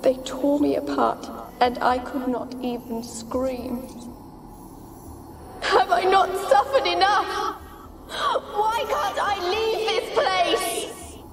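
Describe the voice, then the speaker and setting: A woman's voice: very high voice, sing-song, takes it slowly, heavily acted. An actress playing the lonely, haunted ghost of a young noblewoman in a video game, with a plaintive, dramatic delivery. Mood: haunted, horrified, then despairing. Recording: loud music under the voice. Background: game soundtrack music.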